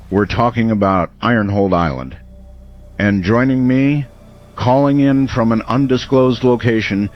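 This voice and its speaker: A man's voice: fairly low pitch, muffled recording, close to an everyday voice. A man playing a grave, probing late-night radio talk host.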